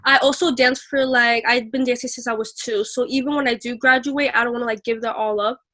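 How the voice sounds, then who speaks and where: Teen girl on a video call, stutters a bit, says like constantly, voice a little high. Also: steady tone, pushed and bright. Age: teenager. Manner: stutter, many "like" fillers, sometimes slow. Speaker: guest. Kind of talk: casual interview answers. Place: small room indoors.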